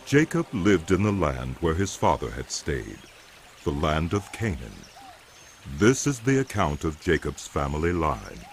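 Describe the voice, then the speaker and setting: A man giving dramatic, scripted Bible narration in a solemn, deliberate manner. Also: extremely low pitch, sing-song, gravelly, a little hiss or hum. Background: music.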